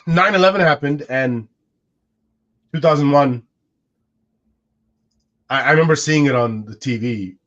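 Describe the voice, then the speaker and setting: A man's voice: a little high, slow pace, pauses often, a bit bright. Reflective man, native Arabic speaker, lo-fi. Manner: reflective, musing, with frequent restarts. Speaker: co-host. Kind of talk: casual podcast conversation. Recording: dull lo-fi sound.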